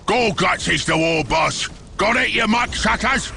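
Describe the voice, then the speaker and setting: A man's voice: sits high, expressive, unhurried, over music, full character voice. A man voicing a pushy orc underling in a video game, snarling. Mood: bossy, shouting. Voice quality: harsh, gravelly rasp. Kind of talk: video game character acting.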